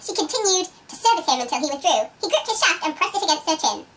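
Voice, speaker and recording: Very high, bright woman reading dramatically. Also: very animated, quick pace, a little hiss or hum. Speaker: reader of book excerpts. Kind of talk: dramatic amused reading aloud. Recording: distant from the microphone.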